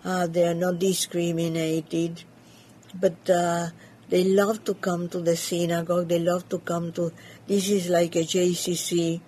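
An older woman who grew up in Cuba and speaks with a Cuban Spanish accent. Her first language was Yiddish, and she answers interview questions in English, often pausing to search for words. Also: on the low side, flat delivery, mellow, slight background noise. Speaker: community leader. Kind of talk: interview conversation.

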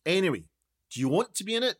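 A man playing a self-important, impatient documentary maker in a radio play, brisk and officious. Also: sits high, big pitch swings. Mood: businesslike. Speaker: documentary interviewer.

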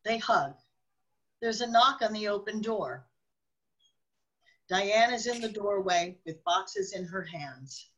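Female narrator for radio drama, husky mid-range voice, scripted scene descriptions. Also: medium pitch, expressive, slow pace, pauses often, dull audio.

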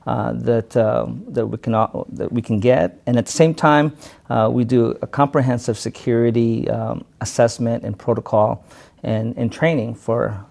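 Gravelly male interview guest from the Philippines; halting, filler-heavy, relaxed storytelling. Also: mid-range voice. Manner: halting, many fillers and restarts. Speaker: guest, self-defense instructor. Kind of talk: casual interview conversation.

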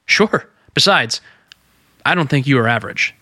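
An American actor playing an earnest young undercover detective, courteous but insistent, in a radio drama. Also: male voice, mid-range voice, expressive, normal speed, bright, forceful tone, slightly rough, no echo, clean studio sound. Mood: friendly, casual. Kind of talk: old-time radio drama acting.

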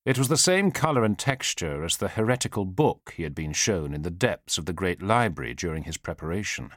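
A man narrates a thriller audiobook in a deep voice that moves widely in pitch. His delivery is measured and solemn, building suspense with weighted pauses. The sound is dry and close, with no room around it. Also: sing-song, a bit bright, no room sound.

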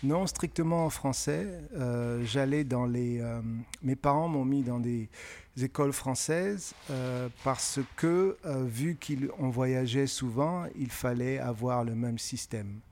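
Middle-aged man, from francophone Africa, measured and reflective, mid-range voice, many pauses. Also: mid-range voice, darkish tone. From francophone West and Central Africa. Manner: measured, thoughtful, pausing often with hesitations. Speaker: guest author. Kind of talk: conversational interview answers.

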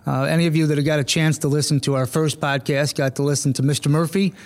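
A man hosting a school district podcast, asking questions in a halting way with many restarts. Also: fairly high pitch, steady tone. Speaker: podcast host, school administrator. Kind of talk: casual interview.

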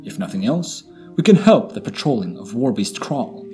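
A proud blood elf paladin, acted by a man, formal and refined in speech. He talks with lively intonation and a measured officer's composure, over a music bed, as in a fantasy audio drama. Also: mid-range voice, natural voice. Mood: earnest, resolute.

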